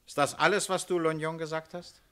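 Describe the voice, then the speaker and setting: An actor playing a shrewd, commanding police inspector in a German radio crime drama, questioning in a terse, authoritative way. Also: male voice, on the high side, fairly even intonation, fairly quick, pushed and bright, clean tone. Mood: probing, terse.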